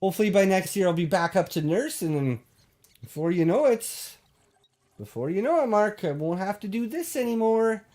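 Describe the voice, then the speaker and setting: A man hosting a call-in show, bantering deadpan with a caller, full of hesitations and restarts. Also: high-pitched, moderate pace. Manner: hesitant, full of ums and restarts, straight-faced.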